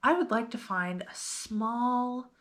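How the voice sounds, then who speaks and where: A woman's voice: on the high side, takes it slowly, fairly bright tone. A woman hosting storytime, reading a picture book aloud expressively and putting simple questions to young listeners.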